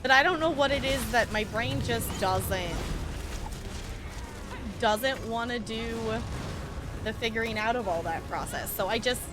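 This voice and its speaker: A woman live streaming a game, chatting casually with viewers in an expressive, playful way. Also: on the high side, measured pace, pauses often, over music. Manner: expressive, playful exclamations and pleading.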